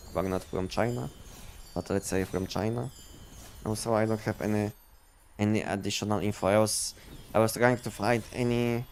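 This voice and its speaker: Male game streamer, lowish voice, casual halting delivery, faint background noise. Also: darkish tone. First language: not English. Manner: halting, repetitive, filler-heavy explanations. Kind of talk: casual livestream chat.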